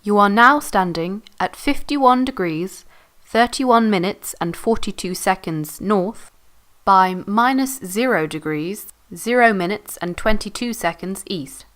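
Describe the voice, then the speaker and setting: A woman's voice: medium pitch, expressive, a little slow, fairly bright tone. A woman narrating as an audio walk guide, scripted, precise and matter-of-fact.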